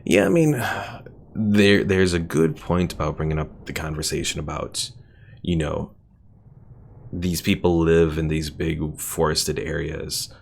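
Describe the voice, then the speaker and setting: A man's voice: extremely low pitch, fairly even intonation, a little slow, vocal fry, a little hiss or hum. A man casually commentating his strategy game on a live stream, thinking aloud, reading out stats and chat comments with plenty of fillers.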